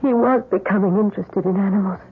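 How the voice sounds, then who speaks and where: An actress playing a subdued, guilt-laden wife in an old-time radio drama, on a tinny, noisy recording. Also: female voice, mid-range voice, fast, slightly rough.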